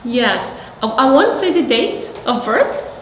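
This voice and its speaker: A woman's voice: fairly high pitch, very animated, takes it slowly, a bit bright. Mexican-accented woman in an echoey room. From Mexico City, Mexico. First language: Spanish. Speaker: interviewee, arts nonprofit representative. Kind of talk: oral history interview, explanatory. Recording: echoey reverberant room, faint noise.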